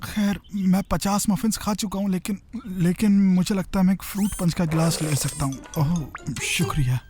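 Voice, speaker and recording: An actor playing a goofy, curious, careless young boy in a cartoon dub, by turns hesitant and excitable. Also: medium pitch, moderate pace, natural voice. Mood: sheepish. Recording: music behind the voice.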